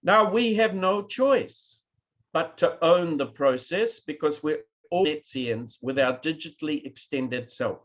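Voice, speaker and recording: A man's voice: on the high side, unhurried, fairly bright tone, lo-fi sound. A man with a New Zealand accent giving a keynote lecture to an online conference audience, now and then asking them to react. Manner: addresses a live online audience, asks for reactions. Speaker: keynote speaker.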